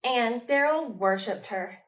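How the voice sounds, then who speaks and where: A woman leading a storytime for young children, reading aloud expressively with dramatic pauses, like a children's librarian. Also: on the high side, lively intonation, fairly bright tone.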